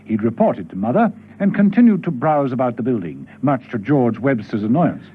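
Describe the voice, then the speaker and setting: A man's voice: mid-range voice, sing-song, moderate pace, husky. A man narrating a scripted radio drama as its storyteller. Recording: dull old radio recording with music.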